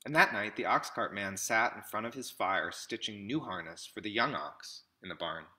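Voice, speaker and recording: A man in his thirties with a bright, forward voice, pitched a bit low. He reads a children's picture book aloud, setting out short phrases one by one with pauses between them. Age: 30s. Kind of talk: read-aloud storybook narration. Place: small indoor room with classroom wall displays.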